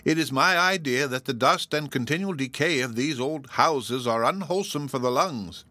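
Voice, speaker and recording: A gloomy, lonesome old woman with a stiff temper, sighing and mournful as she speaks , put on by a male audiobook reader. Her voice sits clearly above his own register. It is recorded close and very dry, with no room sound. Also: mid-range voice, sharp tone, dry recording, voice pushed up. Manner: mournful, sighing, halting delivery.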